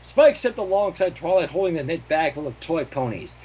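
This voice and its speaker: A man's voice: on the high side, big pitch swings, normal speed, a little mellow, slight background noise. A man narrating fan fiction informally, loose and sometimes stumbling over words.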